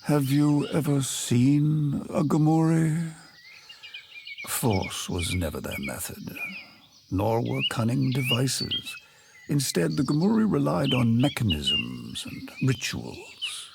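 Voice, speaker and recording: An actor playing a wise, patient old tree creature who tells lore in a solemn, formal, gravelly voice for a fantasy game. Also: male voice, mid-range voice, very animated, slow pace, noisy background, heavily acted. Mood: patient, instructive.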